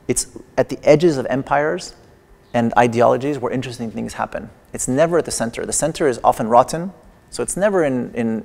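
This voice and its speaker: Gravelly-voiced man lecturing in an echoing hall. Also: mid-range voice, a little mellow. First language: Persian. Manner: wry, digressive, with playful humor. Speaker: artist giving a lecture performance. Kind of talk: lecture performance. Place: large exhibition hall in a museum. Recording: long echoing hall reverb.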